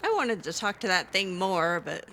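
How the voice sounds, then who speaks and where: A woman's voice: on the low side, expressive, normal speed, bright, forceful tone, a little hiss or hum. A woman playing a video game in character, giving casual commentary with wry, sarcastic, skeptical asides. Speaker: video game player roleplaying as a mage character.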